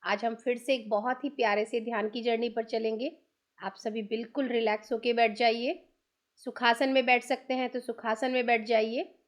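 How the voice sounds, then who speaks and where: A woman with an Indian accent guides a meditation in a soothing manner, giving instructions in short, unhurried phrases. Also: fairly high pitch, little pitch movement. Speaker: meditation guide, channel host.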